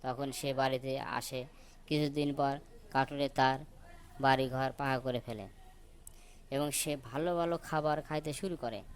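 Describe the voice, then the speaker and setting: A man's voice: medium pitch, steady tone, measured pace, pauses often, clear, resonant tone. A man narrating a children's folk tale for a cartoon. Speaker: story narrator.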